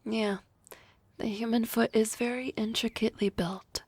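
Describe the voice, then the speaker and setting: A woman voicing an energetic young female harpy classmate in an ASMR roleplay, talking softly and often in a whisper with a teasing manner. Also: fairly high pitch, moderate pace, breathy edge, no room sound. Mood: playful.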